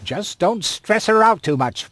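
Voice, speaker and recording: An actor playing a brisk, officious town doctor in an animated game, with a matter-of-fact, authoritative manner. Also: male voice, sits high, dry recording.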